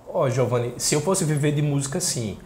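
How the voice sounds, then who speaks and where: A man in his thirties with a Pernambuco accent from northeastern Brazil, talking casually in a soft voice as an interview guest. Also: medium pitch, lively intonation, brisk, a little hiss or hum. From Caruaru, northeastern Brazil. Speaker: interview guest, singer-songwriter and journalist. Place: small indoor room. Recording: echoey small room.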